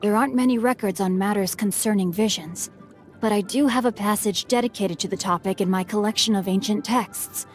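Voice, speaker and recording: A woman's voice: mid-range voice, brisk, natural voice. A woman voicing a bookish, mischievous young noble boy in a video game, speaking in refined, formal phrases with a playful, teasing manner. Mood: thoughtful, polite. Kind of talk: scripted game character voicelines. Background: background music.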